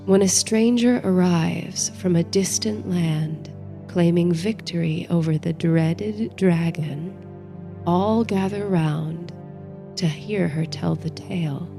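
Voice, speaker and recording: Female sleep-story narrator: soothing and dreamy, very unhurried, lowish mellow voice, faint music underneath. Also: vocal fry. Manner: soothing, measured, dreamy delivery. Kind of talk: bedtime story narration, guided meditation. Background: soft music.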